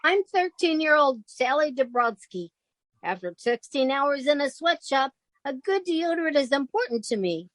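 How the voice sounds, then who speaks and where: Very high-voiced earnest little girl. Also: very animated, moderate pace, dry recording, rough recording. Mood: earnest, cheery. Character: earnest thirteen-year-old girl in a spoof commercial. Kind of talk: parody ad testimonial.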